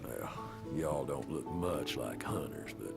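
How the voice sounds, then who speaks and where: A man voicing a gruff country hunter in a video game, with a folksy Southern US drawl. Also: extremely low pitch, animated, slow pace, loud music behind the voice, full character voice. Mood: weary, folksy. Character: gruff, folksy male country hunter, rough but appreciative. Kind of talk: video game cutscene voice acting.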